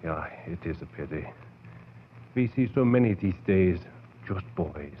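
An actor playing a subdued, sorrowful laboratory assistant, quiet and somber, in a 1940s radio horror drama. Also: male voice, deep voice, animated, measured pace. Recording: noisy, muffled 1940s radio recording.

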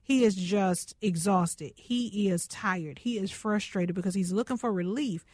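A woman's voice: medium pitch, a little slow, darkish tone, dry recording. A woman radio host, chatty and personal, interviewing a guest in a studio. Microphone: studio microphone.

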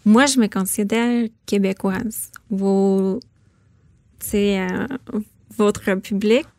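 A woman's voice: medium pitch, fairly even intonation, slow pace, darkish tone, no echo, clean studio sound. A woman from Saskatchewan with an English Canadian accent, speaking French as a guest in a radio interview, thoughtful and hesitant with many fillers. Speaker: author and parliamentary correspondent, guest.

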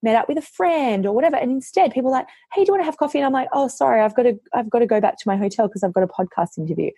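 A woman author, hoarse from losing her voice, chatting casually on a podcast and telling lively stories, often quoting herself. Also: on the high side, racing, no room sound. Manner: animated storytelling, quotes herself.

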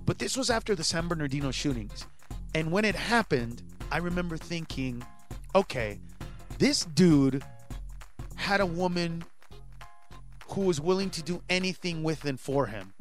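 Male stand-up comic in his 40s, born in Honduras, interview guest; fairly high voice, lively, shouts for punchlines. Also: normal speed, long pauses, soft background music. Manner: animated, rising to shouts when acting out bits. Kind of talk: casual interview chat.

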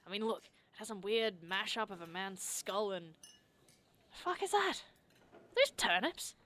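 A woman's voice: medium pitch, very animated, a little slow, bright, forceful tone. A woman playing a jaded, sarcastic young sidekick, blunt and casual, in naturalistic audio drama dialogue. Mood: puzzled, irreverent.

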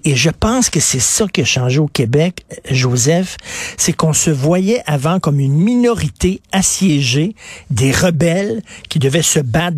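A man's voice: a little high, breathy edge, dry recording. A man with a Quebec French accent hosting a radio opinion talk, speaking emphatically and indignantly.

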